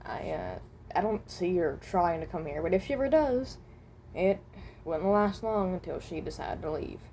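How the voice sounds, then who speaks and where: A woman playing a hesitant, mild-mannered traveler from another dimension, speaking haltingly and often rephrasing in improvised character voice lines. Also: fairly low pitch, very animated, dark tone, a little hiss or hum. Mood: thoughtful, reassuring.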